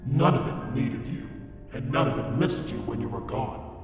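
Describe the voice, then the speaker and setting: Mocking, echo-drenched otherworldly entity. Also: male voice, medium pitch, expressive, normal speed, creaky. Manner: taunting, falsely soothing, echoing others' words. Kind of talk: dramatic comic dub. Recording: distant, heavily echoing hall, faint music.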